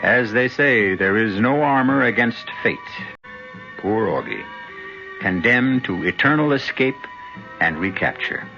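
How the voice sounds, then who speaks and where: A man's voice: lowish voice, very animated, measured pace, music in the background. Musing male radio drama host. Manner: musing, theatrical. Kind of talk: scripted narration. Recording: dull old radio broadcast.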